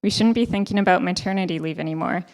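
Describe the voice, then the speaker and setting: A young woman speaking on a panel with a handheld mic in a ballroom, giving earnest, measured advice. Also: on the low side, a little mellow. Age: young adult. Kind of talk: panel discussion talk. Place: hotel ballroom stage.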